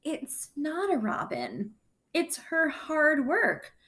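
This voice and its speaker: Woman voicing an earnest, lively-pitched young storybook boy. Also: slow pace, exaggerated voice. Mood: insistent. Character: earnest, shy young boy who draws.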